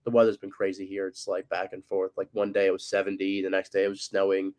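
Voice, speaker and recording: A man's voice: sits low, completely flat delivery, quick pace, darkish tone. A young man with a stuffy, cold-clogged voice, hosting a casual sports podcast and rambling with lots of ums and likes. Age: young adult. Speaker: podcast host.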